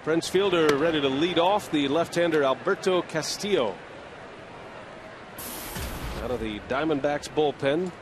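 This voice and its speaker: A man calling a baseball game play-by-play in a steady, measured broadcast style. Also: a little high, background noise. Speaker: play-by-play announcer.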